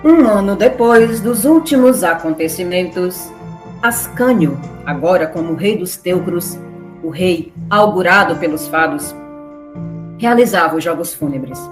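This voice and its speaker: A woman's voice: on the low side, animated, a little slow, faint music behind. A woman reading scripted narration for a radio drama.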